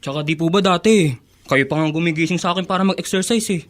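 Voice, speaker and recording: Actor as a high-voiced, coaxing young grandson. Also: male voice, fast, natural voice. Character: young grandson, cheerful, persistent, caring. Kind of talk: Tagalog radio drama acting.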